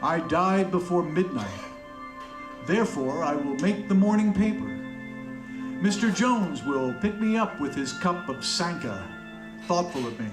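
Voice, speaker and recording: Radio drama narrator: bitter, wry corpse, very unhurried delivery, music behind. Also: male voice, on the high side, lively intonation. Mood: wry, resigned. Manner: deliberate, wry, brooding. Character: bitter, sardonic murdered man narrating as a corpse. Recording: echoey, music underneath.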